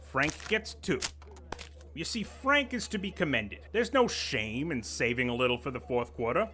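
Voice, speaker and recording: A man's voice: medium pitch, expressive, moderate pace, sharp tone, husky. An actor playing a pompous, condescending office vice president who lectures his staff. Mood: smug. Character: pompous middle-aged male corporate boss, menacing and sly. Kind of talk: audio drama acting.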